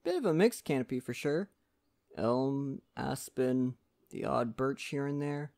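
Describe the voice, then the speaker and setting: A performer voicing a friendly, poetic male lumberjack, chatty and gently courteous, in an ASMR roleplay addressed to the listener. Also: mid-range voice, lively intonation, measured pace, vocal fry. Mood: easygoing, chatty.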